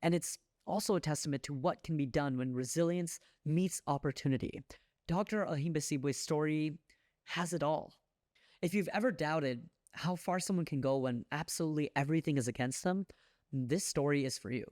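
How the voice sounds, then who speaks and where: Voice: mid-range voice, normal speed, a little mellow, dry recording. Earnest host with a dry close sound. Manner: earnest, reads from notes, restarts. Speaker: podcast host. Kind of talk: scripted intro and interview questions.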